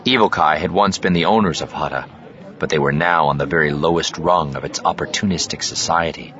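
Deep-voiced male audiobook narrator. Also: fairly quick, a bit bright. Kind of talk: dramatic scripted narration of science fiction. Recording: slightly dull studio track over ambient effects.

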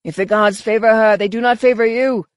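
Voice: mid-range voice, flat delivery, brisk, no room sound, lightly acted. Narrator acting a snarling, sneering alien war leader. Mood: furious. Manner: sneering, menacing, barked commands.

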